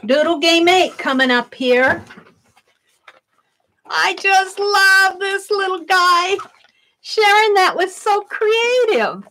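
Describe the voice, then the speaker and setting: High, bright, unhurried woman streamer. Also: lively intonation, slight background noise. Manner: loud, projected voice; chatty, thinks aloud, reads chat comments. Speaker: art livestream host. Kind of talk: casual livestream chat while crafting.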